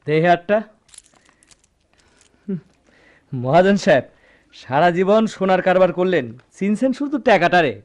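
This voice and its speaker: An actor playing a righteous, self-assured gold dealer in a radio drama, deliberate and assertive, with a rural Bangladeshi accent. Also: male voice, sits high, expressive. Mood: confident, reproachful. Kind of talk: Bengali radio play acting.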